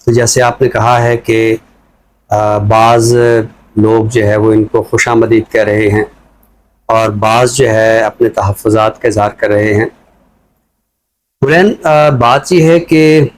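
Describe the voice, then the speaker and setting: Man, Pakistani accent, medium pitch, scholarly commentator, measured explanatory delivery, reflective conversational style. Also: rough recording. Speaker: scholar commentator on a talk show.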